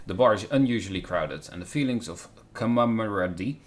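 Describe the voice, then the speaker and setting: A man's voice: lowish voice, expressive, brisk. A man reading a visual novel aloud on a stream, breaking off with wry commentary. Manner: wry, interjects remarks on the script. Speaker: game streamer, co-reader. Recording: faint noise on a live stream.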